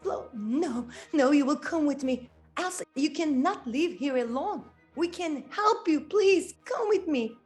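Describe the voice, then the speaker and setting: Woman voicing an urgent, high-pitched pleading young princess. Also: lively intonation, a little slow, few pauses, faint music behind. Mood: urgent, insistent. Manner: urgent, imploring. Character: anxious, pleading, brave young princess searching for her sister.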